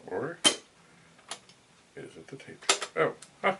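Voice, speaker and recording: A middle-aged man giving a casual hands-on demonstration, musing to himself in a halting way. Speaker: vintage computer hobbyist and video host. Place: cluttered indoor home room. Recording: clear background noise, equipment clicks.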